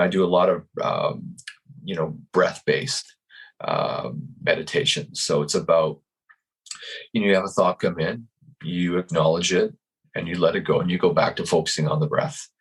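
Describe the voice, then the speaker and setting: A man with a very low voice and a husky, breathy edge talks slowly through his thoughts over a video call. He is in his fifties, calm and reflective, and often stops to restart a sentence or repeat a word. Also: rough recording. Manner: calm, searching, many restarts and repeated words. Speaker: podcast guest. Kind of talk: reflective interview talk.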